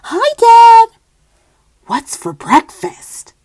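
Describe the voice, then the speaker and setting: Eager storybook froglet, young boy played by a woman: high, childlike, exuberant, very animated. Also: extremely slow, no room sound, studio quality, heavily acted. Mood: excited. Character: eager little boy tadpole growing into young frog.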